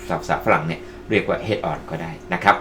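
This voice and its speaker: A man's voice: deep voice, fairly quick, a bit bright. A man presenting casually to online viewers as a tutorial host, addressing them politely as friends. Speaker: presenter of video-making tutorials. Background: music.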